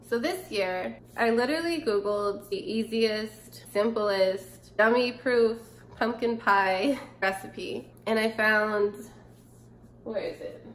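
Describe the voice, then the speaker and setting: A woman's voice: mid-range voice, gravelly, slight background noise. A woman in her twenties with a stuffy, nasal voice from a cold, chatting casually and thinking aloud as she cooks in her home kitchen. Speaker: cooking vlogger. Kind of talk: casual cooking vlog. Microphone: camera or phone close up.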